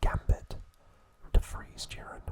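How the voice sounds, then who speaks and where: A man narrating an ASMR recap as host, speaking in a soft, breathy whisper with a gentle, halting delivery.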